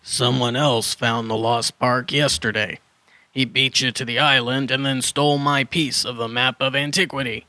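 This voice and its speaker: A man's voice: mid-range voice, steady tone, moderate pace, bright, forceful tone, no room sound, close to an everyday voice. A man playing a flustered dinosaur hermit who muddles his words in a children's audio drama. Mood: apologetic. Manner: flustered word-swapping, correcting himself.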